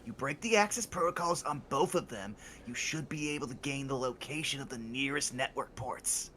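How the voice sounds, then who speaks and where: A man voicing a furtive, eager male street contact in a video game, speaking in quick, conspiratorial bursts. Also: mid-range voice, sharp tone, slight background noise, lightly acted. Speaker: co-player reading character lines.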